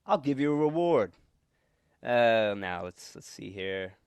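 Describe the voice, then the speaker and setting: A man's voice: mid-range voice, expressive, unhurried, noisy background. A man acting a nervous, secretive husband with menace under his evasive stammer, in 1940s radio suspense style. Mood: coaxing, uneasy. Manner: stammering, evasive, repeats words.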